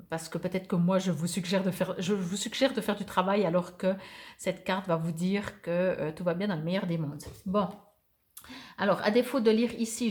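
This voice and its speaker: A woman's voice: on the low side, darkish tone. Chatty woman reading oracle cards. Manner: chatty, thinks aloud with many hesitations; reads card texts aloud, then comments. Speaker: oracle card reader. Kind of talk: weekly card and numerology reading.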